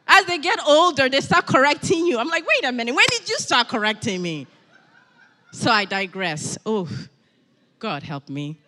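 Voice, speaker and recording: A middle-aged woman preaching a sermon, animated and emphatic, repeating key phrases and breaking into personal asides. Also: sits high, moderate pace, big-room sound, a little hiss or hum. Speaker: guest preacher.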